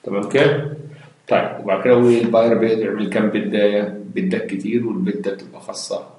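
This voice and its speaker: A man's voice: lowish voice, little pitch movement, fast, darkish tone, slight background noise. A man with an Egyptian Arabic accent teaching a database lesson over a screen recording, explaining step by step, mixing in English terms and asking rhetorical questions. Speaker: computer science instructor. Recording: very echoey room.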